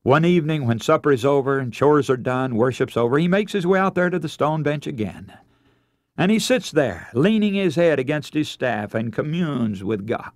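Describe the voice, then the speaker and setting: An American man delivers a radio sermon as a dramatic story. His voice sits a little high and swings widely in pitch, with an emotive, theatrical delivery. The sound is dry and close. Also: expressive, normal speed, a little mellow, no room sound. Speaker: preacher.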